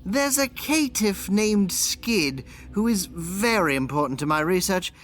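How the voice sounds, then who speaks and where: A woman playing a commanding, scheming elder vampire regent in an audio drama, formal and theatrical with drawn-out emphasis. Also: mid-range voice, lively intonation, natural voice. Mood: coaxing.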